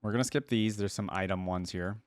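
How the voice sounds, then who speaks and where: A man's voice: on the low side, fairly quick, dry recording, clean studio sound. A man giving casual, musing commentary as a reaction video host. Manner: informal, musing, conversational.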